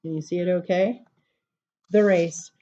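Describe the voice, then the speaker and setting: A woman reading poems aloud to children as a storytime librarian, with animated, sing-song emphasis and casual asides. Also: lowish voice, unhurried, highs cut off.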